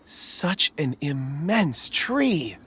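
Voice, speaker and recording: A woman voicing a frail, gentle and hesitant young companion in a video game, speaking haltingly. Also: sits low, sing-song, a little hiss or hum, exaggerated voice. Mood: hesitant, mild. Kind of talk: video game character acting. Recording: game audio with loud music.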